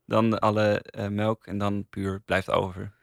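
A man's voice: fairly low pitch, little pitch movement, unhurried, vocal fry, clean tone, no echo. A man co-hosting a podcast in casual conversation, with a dry, playfully contrary way of talking. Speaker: podcast review co-host. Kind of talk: casual studio chat.

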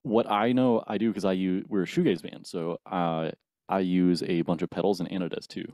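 A man in his twenties, a band's bassist, explaining the recording process methodically in a casual interview. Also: fairly even intonation, moderate pace, dark tone, no echo, clean studio sound. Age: 20s. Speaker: band bassist.

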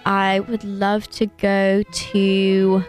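A woman's voice: mid-range voice, completely flat delivery, drawn-out words, faint music behind. A young woman chatting casually as a guest, in a self-deprecating, giggly way. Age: young adult. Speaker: podcast guest. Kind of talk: casual chat.

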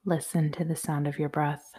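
A woman guiding a yoga nidra meditation in a soothing, measured, softly spoken way. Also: sits low, level tone, slightly rough. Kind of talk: guided meditation, slow instructions.

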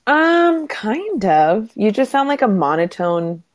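Hoarse, nasal woman podcaster. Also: fairly high pitch, very animated, takes it slowly, no echo, studio quality. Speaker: podcast co-host. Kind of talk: casual chat.

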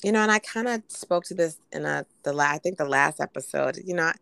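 A woman co-hosting a casual podcast, hoarse from a recent illness, chatting with lots of "um"s and "you know"s. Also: low-pitched, animated, a little fast, a bit bright, no echo. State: hoarse from being sick. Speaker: podcast co-host.

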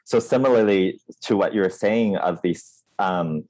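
A man's voice: deep voice, measured pace, darkish tone, low-quality recording. A man from Taiwan, a theater writer on a panel, speaking in a thoughtful, conversational way and explaining his points with examples.

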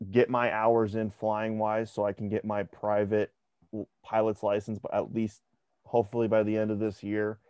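Relaxed young man talking sports, lo-fi. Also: fairly low pitch, smooth, dry recording. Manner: conversational, repeats words when restarting. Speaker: podcast co-host. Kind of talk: casual sports chat. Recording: dry, dull lo-fi sound.

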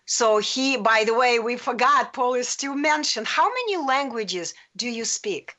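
A woman hosting a live radio talk show, interviewing a guest with energetic, encouraging questions. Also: fairly high pitch, measured pace.

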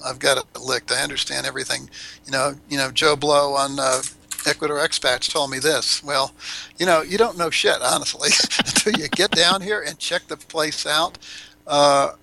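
A man's voice: fairly high pitch, fairly even intonation, normal speed, creaky, pushed and bright, rough recording. An older man, a podcast guest, chatting casually and bluntly, rambling with restarts and the odd swear. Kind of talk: casual interview chat. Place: Ecuador.

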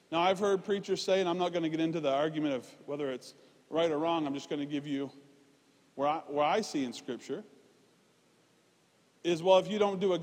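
High-voiced male preacher in a reverberant hall. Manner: informal, self-deprecating humour. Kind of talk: conversational sermon to a congregation. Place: large reverberant room, preaching live.

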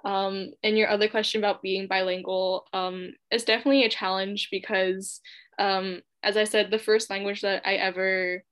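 Young woman from Hong Kong, Cantonese native, relaxed chatty interview guest who laughs easily. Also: medium pitch, moderate pace, smooth. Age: young adult. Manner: chatty, laughs often, many fillers. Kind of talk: casual interview chat.

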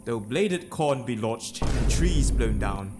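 A man's voice: medium pitch, animated, slow pace. A man acting a bold, demanding usurper lord, declaiming imperiously in a dramatic Shakespeare reading. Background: ominous music.

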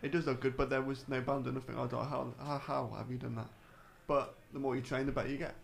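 A man in his late thirties with an English accent and a gravelly, creaky voice at a middling pitch. He chats informally as a guest, doubling up words to stress a point. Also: flat delivery, quick pace. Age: late 30s. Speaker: podcast guest. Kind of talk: casual chat.

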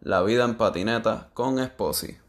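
A man welcoming listeners in a slow podcast introduction. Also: on the low side. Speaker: podcast host. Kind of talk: show intro.

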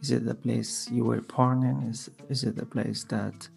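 A man's voice: medium pitch, little pitch movement, creaky, a little mellow, faint music behind. A man asks long interview questions, hesitating and repeating words as he goes. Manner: halting, repeats words while asking.

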